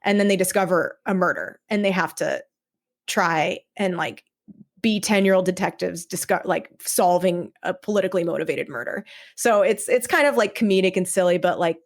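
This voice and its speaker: A woman talking casually as a podcast guest about her own creative work, in a halting, informal way. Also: lowish voice. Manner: halting, informal, frequent "like" and "kind of". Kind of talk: casual chat.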